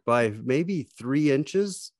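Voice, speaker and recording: Slow, reflective middle-aged man. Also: mid-range voice, expressive, darkish tone, dry recording, studio quality. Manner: slow, reflective, pauses often. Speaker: podcast guest, fly fishing writer. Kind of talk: casual storytelling. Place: home office with bookshelves, indoors. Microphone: wired earbuds, video call.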